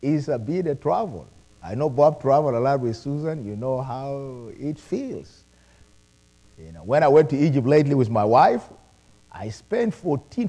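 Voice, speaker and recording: A middle-aged man who learned English later, preaching a sermon with animated calls of praise. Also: fairly high pitch. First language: not English. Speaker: pastor.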